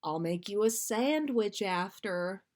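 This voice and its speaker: A cheeky, coaxing boyfriend, played by a woman reading fan fiction aloud. The delivery is slow and teasing, with a playful, wheedling manner. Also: medium pitch, lively intonation, darkish tone.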